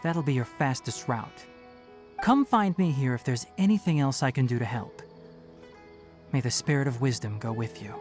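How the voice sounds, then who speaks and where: A man voicing a kind, composed young forest guide in a video game, gentle and helpful in manner. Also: mid-range voice, moderate pace, faint music behind, lightly acted. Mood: calm, kindly. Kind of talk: video game character acting.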